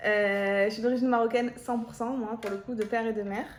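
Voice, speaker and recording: A woman's voice: on the high side, fairly even intonation, a little fast. A woman, chatting casually and playfully as she hosts a couple's Q&A vlog. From Marseille, France. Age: 20s. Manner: chatty, giggly, teasing. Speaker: vlog host, half of a couple channel. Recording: echoey room.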